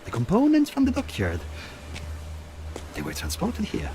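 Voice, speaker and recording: An actor playing an oily Italian underworld go-between in a video game, insinuating and falsely friendly, with an Italian accent. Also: male voice, a little high, huge pitch swings, normal speed, over music. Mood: ingratiating. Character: sly, ingratiating Italian mafia go-between. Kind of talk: video game cutscene acting.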